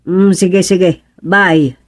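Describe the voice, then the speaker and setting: Plain-spoken village neighbour, Filipino accent, conversational, expressive, radio comedy-drama. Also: female voice, lowish voice, drawn-out words. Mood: businesslike. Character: plain-spoken neighbourhood resident organising communal work.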